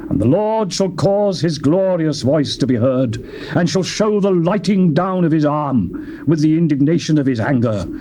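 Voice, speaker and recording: A British actor voicing an impassioned male prophet, declaiming scripture with wide swings between soaring and hushed lines. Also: a little high, lively intonation, normal speed, natural voice. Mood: solemn proclaiming. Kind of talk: dramatized scripture reading. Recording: noisy recording with outdoor birdsong.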